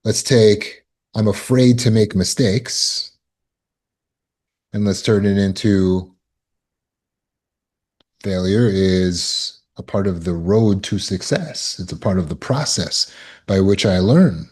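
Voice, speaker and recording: Male self-help coach, very deep voice, slow and deliberate, repeats affirmations. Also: darkish tone, breathy edge. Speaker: trauma recovery coach. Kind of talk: self-help teaching talk.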